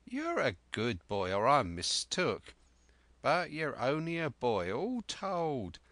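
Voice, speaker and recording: A man voicing a half-wild old male castaway who speaks in a rough sailor's dialect, eager and cunning. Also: medium pitch, huge pitch swings, takes it slowly, a bit bright, no room sound, voice pushed up, exaggerated voice. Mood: earnest.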